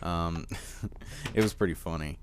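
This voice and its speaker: A teenage boy with a deep voice giving casual gameplay commentary, rambling with lots of fillers and easy laughs. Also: unhurried, a little hiss or hum. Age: teens. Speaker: gaming commentator.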